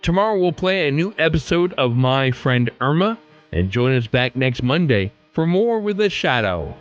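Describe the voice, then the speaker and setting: A man's voice: fairly high pitch, sing-song, normal speed, smooth, muffled recording, soft background music. A man hosting a podcast, giving scripted commentary and sign-off announcements. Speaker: podcast host.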